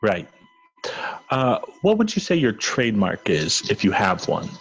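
A man's voice: on the low side, huge pitch swings, unhurried, slight background noise, heavily acted. A man playing a polite, curious young female journalist with tactful questions in an amateur comedy read. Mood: polite curiosity. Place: home, on a group video call. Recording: muffled video-call audio.

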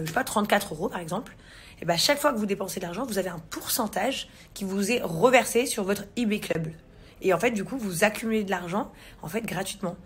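A woman in her thirties films herself at arm's length in a spacious, bright living room. Her voice is husky and slightly breathy, set at an everyday pitch. She rambles warmly in casual chat, full of fillers, slang and restarts. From England. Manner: chatty, many fillers and restarts, youthful slang. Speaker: vlogger. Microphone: phone camera at arm's length.

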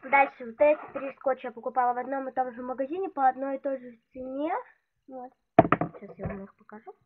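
A woman's voice: high voice, a little fast. A woman vlogger showing her stationery at a desk, talking in a chatty, hesitant way while she is ill. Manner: chatty, hesitant, many fillers. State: ill, voice affected. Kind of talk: casual show-and-tell. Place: indoors at a desk, hands on table. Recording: tinny phone-like audio with loud noise.

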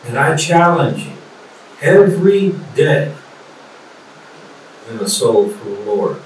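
An older man, a preacher, gives an earnest sermon with deliberate repetition over a video call. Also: mid-range voice, a little mellow. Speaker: professor and preacher. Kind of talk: sermon, graduation address. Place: indoor study or office, video call. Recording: echoey video call, distant, some noise.

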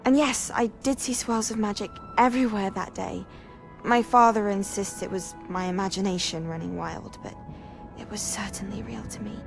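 A woman's voice: mid-range voice, moderate pace, vocal fry, soft background music, lightly acted. An actress playing a polite, earnest young female student of magic in a fantasy game cutscene. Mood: grateful, earnest. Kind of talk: dramatic game cutscene dialogue.

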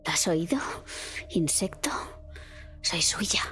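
A woman's voice: fairly low pitch, fairly even intonation, takes it slowly, fairly bright tone, faint music behind. A woman voicing a corrupted character in a tabletop horror game, speaking in a whisper. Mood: menacing.

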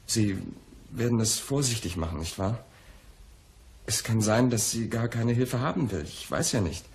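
An actor in a radio play, playing an anxious, pampered young poet who pleads in a hesitant, agitated way and repeats himself. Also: male voice, on the low side, animated, moderate pace, a little hiss or hum. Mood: uneasy, pleading. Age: young adult.

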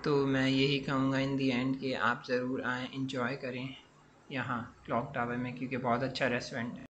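A man's voice: mid-range voice, completely flat delivery, moderate pace, fairly bright tone, lo-fi sound, a little hiss or hum. A man in his twenties vlogging about a restaurant buffet in a casual, chatty way, often saying "so guys" and mixing in English phrases. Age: 20s. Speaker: food vlogger. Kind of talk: casual vlog voice-over.

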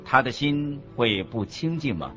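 A man reading scripted religious teaching as a narrator, in a deliberate, instructive manner. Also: medium pitch, big pitch swings, fairly bright tone. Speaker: narrator of a Buddhist teaching radio program. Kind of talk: scripted didactic narration in a radio program. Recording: muffled lo-fi audio with music underneath. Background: background music.

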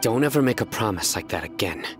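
Man acting a deep, stern young warrior. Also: animated, fast, music in the background, lightly acted. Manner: solemn, restrained. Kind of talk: video game voice acting.

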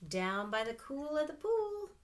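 Lady doing a playful little picture book frog, super high and bouncy, excited squeals. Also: a little hiss or hum. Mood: gleeful. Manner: excited, exclaiming, drawn-out squeals.

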